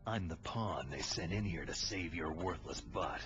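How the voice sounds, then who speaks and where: A very deep, gravelly soldier for a video game. He is cool, terse and commanding, rasping breathily. Loud music plays behind him. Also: animated, moderate pace. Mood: cool, brusque. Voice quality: gravelly, breathy low rasp. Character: gruff, cool, hardened male special-forces infiltrator. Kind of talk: video game cutscene acting. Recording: dull game audio under music.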